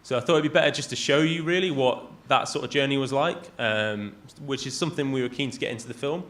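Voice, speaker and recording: A young Englishman talks to a live audience about his travel films. His voice sits at an ordinary middle pitch, and he speaks haltingly, filling the pauses with ums and uhs. He keeps slipping in wry, self-deprecating remarks. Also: a bit bright. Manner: hesitant, lots of ums and self-deprecating asides. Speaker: travel videographer giving a talk. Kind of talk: casual live presentation. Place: event venue in front of an audience.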